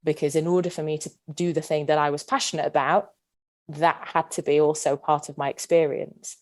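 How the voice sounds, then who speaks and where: A woman in her thirties from Yorkshire, England, speaking as a podcast guest in an earnest, measured way. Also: sits low, little pitch movement. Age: 30s. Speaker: guest, PE teacher and former athlete. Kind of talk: reflective podcast interview.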